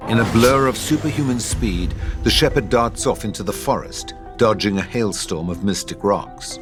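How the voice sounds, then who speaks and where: A male narrator reads stage directions from a screenplay. His voice sits fairly low, and music plays clearly underneath the scripted narration. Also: moderate pace.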